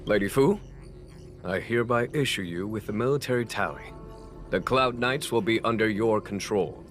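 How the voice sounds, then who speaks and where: An actor playing a calm, wry military general in a video game, speaking in a measured, formal and courteous way. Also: male voice, on the low side, lightly acted. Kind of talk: scripted video game dialogue. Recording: background music under the voice.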